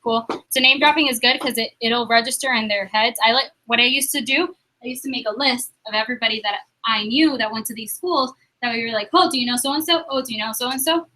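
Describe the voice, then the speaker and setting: A young woman leading a sales training meeting, upbeat and quizzing the room with quick questions. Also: a little high, bright, forceful tone, rough recording.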